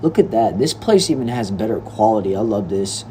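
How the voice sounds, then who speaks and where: A man's voice: fairly low pitch, expressive, fairly quick, warm tone, a little hiss or hum. A nineteen-year-old man from Texas, reading viewer comments aloud on a livestream in an easygoing, chatty way. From Texas, United States. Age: young adult. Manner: laid-back, chatty, addresses viewers as bro and y'all. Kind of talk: casual livestream chat. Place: indoor room.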